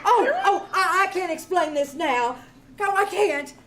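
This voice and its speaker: An actress playing a gushing, soft-hearted, excitable wife who coos and exclaims in an old-time radio comedy. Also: female voice, very high-pitched, measured pace. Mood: excited. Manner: cooing, exclamatory, melodramatic. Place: small theater stage, live performance. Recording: loud noise, live theater performance.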